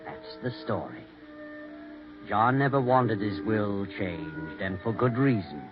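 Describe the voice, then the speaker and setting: An actor playing an ominous, knowing storyteller who narrates a 1940s radio mystery. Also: male voice, lowish voice, big pitch swings, slow pace. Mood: sly, knowing. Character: ominous, knowing male storyteller of weird tales. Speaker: narrator host. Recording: dull 1940s radio recording with music.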